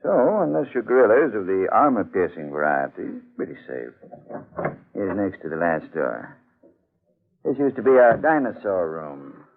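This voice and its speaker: A cautious, wry professor in a vintage radio play. His lowish voice is authoritative yet animated, on a tinny narrow-band recording. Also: moderate pace, creaky, heavily acted. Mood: genial, wry. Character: calm, cautious, dryly witty male museum professor. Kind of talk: old-time radio drama acting.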